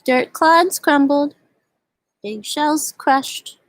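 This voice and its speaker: Slow, high-voiced woman hosting children's storytime. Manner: simple, child-directed explaining with questions. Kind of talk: show-and-tell and read-aloud for young children.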